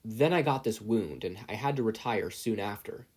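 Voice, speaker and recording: A man's voice: fairly low pitch, lively intonation, normal speed, a little mellow, breathy edge. A man voicing a hearty, earnest older hero and kindly mentor, speaking in an emphatic, encouraging way for an audiobook. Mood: earnest, serious. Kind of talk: audiobook character voice.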